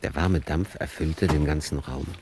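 A man's voice: a bass voice, fairly even intonation, normal speed, noisy background. A man narrating a radio play in a scripted, literary storytelling style.

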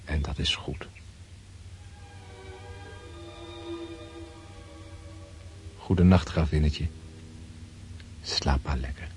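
A weary yet reawakening Russian prince speaks in a deep voice. He muses reflectively in radio drama style. Birds and clear background noise surround him. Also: unhurried, darkish tone. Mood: melancholy, hushed. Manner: reflective, musing aloud.

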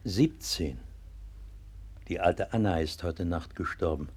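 An actor playing a devout, steadfast pastor in a 1960s German radio play, speaking with earnest solemnity. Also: male voice, low-pitched, takes it slowly, a little mellow, husky, full character voice. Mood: solemn, consoling. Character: devout, steadfast pastor consoling his flock in a plague year.

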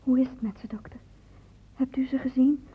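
A guarded young student in a radio play, anxious and loyal. Her voice is very high and breathy. It comes through a narrow, tinny old recording. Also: fairly even intonation, normal speed. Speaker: actor in a radio drama. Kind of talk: Dutch radio play acting.

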